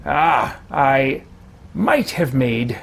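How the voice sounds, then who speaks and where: A man playing a haughty, impatient wizard adventurer in a video game roleplay, muttering to himself in formal, pompous, halting phrases. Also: medium pitch, animated, creaky, fairly bright tone, exaggerated voice. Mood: musing. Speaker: let's play host. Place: small home room, indoors.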